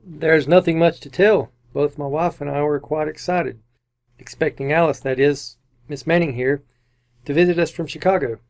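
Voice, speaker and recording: A man's voice: on the high side, steady tone, normal speed, darkish tone, close to an everyday voice. A man playing an earnest, anxious husband under suspicion in an old-time radio mystery, speaking in a stiffly theatrical way. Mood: subdued, cooperative. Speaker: amateur radio actor.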